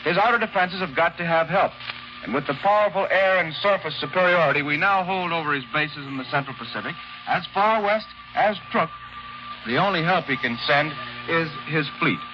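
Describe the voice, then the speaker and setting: A man's voice: a little high, normal speed, highs cut off. An American man, a war correspondent, delivering a scripted 1940s radio report. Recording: band-limited shortwave radio, faint music bleed.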